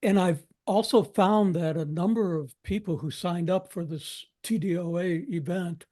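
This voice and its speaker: A man giving an informal update on an online meeting in a halting, hesitant way. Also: high-pitched, dark tone. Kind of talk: informal spoken report. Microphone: teleconference connection.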